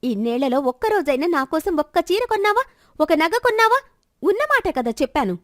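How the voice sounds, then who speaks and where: A woman voicing a bitter, sharp-tongued village wife who complains and scolds her husband in a dramatized moral story. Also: very high voice, big pitch swings, fast talker, no room sound, clean studio sound. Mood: scornful, angry. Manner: scornful, complaining, rhetorical questions.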